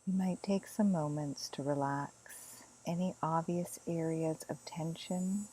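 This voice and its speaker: A woman leading a guided meditation as a teacher, speaking gently and soothingly in soft phrases with long pauses. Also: sits low, steady tone, husky, poor audio.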